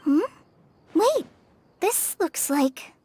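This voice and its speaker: A woman voicing a tiny, curious female fairy companion in a game cutscene, excitable and quick to exclaim. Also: very high voice, a little hiss or hum, exaggerated voice.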